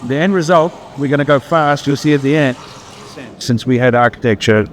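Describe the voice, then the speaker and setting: A man's voice: mid-range voice, brisk, a little hiss or hum. A man from New Zealand with a New Zealand accent presents an exhibition as its curator, in an emphatic style that builds points through repetition. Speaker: exhibition curator, architecture professor. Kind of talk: guided exhibition walkthrough. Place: exhibition gallery, indoors.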